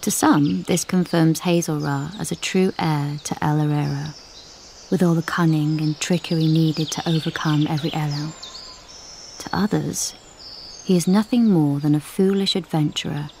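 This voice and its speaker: Low, creaky rabbit storyteller. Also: female voice, steady tone, a little slow, warm tone, slight background noise. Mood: grave, measured. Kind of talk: formal scripted narration in radio drama.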